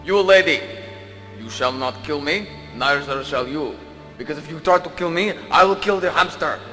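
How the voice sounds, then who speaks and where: A man's voice: on the high side, normal speed. A man playing a pompous, villainous count in a comic melodrama, theatrical and gloating. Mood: defiant. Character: pompous villainous count who dotes on his hamster. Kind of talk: comic melodrama audio acting. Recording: long reverb, loud music behind.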